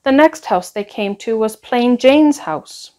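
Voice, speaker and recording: A woman reading a children's picture book aloud in an expressive storytelling style. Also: a little high, takes it slowly. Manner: expressive, acts out dialogue.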